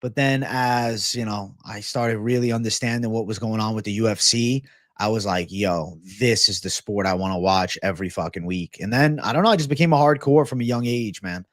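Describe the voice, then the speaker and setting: A man's voice: lowish voice, brisk, dry recording, clean studio sound. A young adult man from Massachusetts hosting a casual MMA livestream, chatting loosely and animatedly with bro-heavy slang while reading viewer comments.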